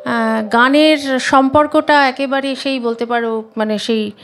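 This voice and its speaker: A woman's voice: high-pitched, steady tone, measured pace. A middle-aged woman, chatting casually as a singer guest. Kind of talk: casual chat.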